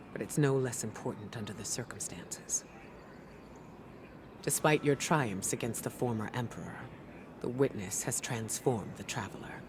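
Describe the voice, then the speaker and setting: Game leader: composed scholarly veteran, gently resolute, formal, unhurried pacing, clear vehicle ambience behind. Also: female voice, extremely low pitch, noisy background. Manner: measured, formal, softly earnest. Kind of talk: dramatic video game narration.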